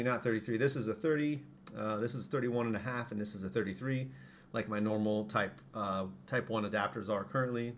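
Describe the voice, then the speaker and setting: A man with a hoarse voice, casually explaining his products on a live stream and correcting himself as he goes. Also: fairly low pitch, a little hiss or hum. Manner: rambling, self-correcting. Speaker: streamer and saber parts maker.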